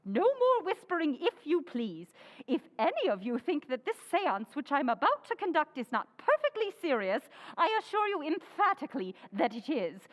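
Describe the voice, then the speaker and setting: An actress playing a bossy, pompous society hostess who fancies herself a medium, in old-time radio drama style with grand theatrical delivery. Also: female voice, sits high, sing-song, moderate pace. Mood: bossy, fussy. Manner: imperious, theatrical, grandly intoning. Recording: echoey hall, live audience behind.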